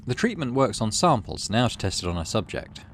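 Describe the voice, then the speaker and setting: A man's voice: lowish voice, big pitch swings, a little fast, a little hiss or hum. A man hosting a gaming playthrough, mixing casual commentary with read-aloud story narration. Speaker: gaming channel host. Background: game music.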